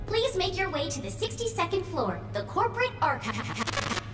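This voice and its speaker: An actress playing a cheerful corporate tour guide in a video game, with perky, scripted announcer delivery. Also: female voice, extremely high pitch, very animated, moderate pace. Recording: echoing room, music behind.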